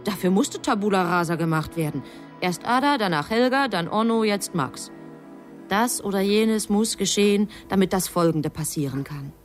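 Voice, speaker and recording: A woman's voice: medium pitch, expressive, moderate pace, soft background music, close to an everyday voice. An actress playing a self-assured, scheming angel in a radio drama, telling her story in a composed, matter-of-fact way. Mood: cool, self-satisfied. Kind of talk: German radio drama acting.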